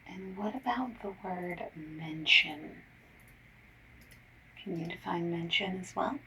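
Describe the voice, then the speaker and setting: A woman doing an ASMR survey-caller roleplay, gently and politely asking questions in breathy soft speech and whispers. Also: on the low side, slow. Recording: lo-fi, noisy, slightly distant mic. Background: mechanical keyboard typing.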